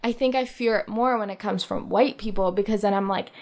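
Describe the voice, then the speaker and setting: A woman's voice: mid-range voice, a little fast. A young woman born in Pakistan, telling personal stories in a casual conversation and acting out the people she quotes. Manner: animated storytelling, acts out quoted people.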